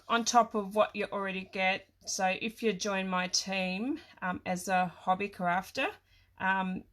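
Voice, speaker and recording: A middle-aged Australian woman with a croaky voice from a cold, chatting casually as she hosts a craft livestream in a hesitant, rambling way. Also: medium pitch, a bit bright. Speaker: craft demonstrator and livestream host. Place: small home craft room.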